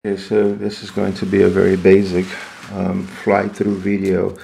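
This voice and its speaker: A man giving an unscripted, hands-on equipment walkthrough in a halting, explanatory way. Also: deep voice, completely flat delivery, vocal fry, warm tone, a little hiss or hum, low-quality recording. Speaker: product demonstrator.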